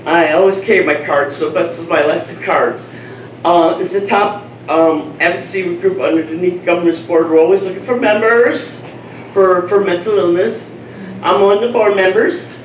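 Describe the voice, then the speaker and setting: Blunt rambling man, high voice, roomy. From Chicago, Illinois. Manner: blunt, rambling, self-mocking. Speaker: audience member. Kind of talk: open-floor comment. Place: event room at a community panel. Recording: roomy, distant, faint noise.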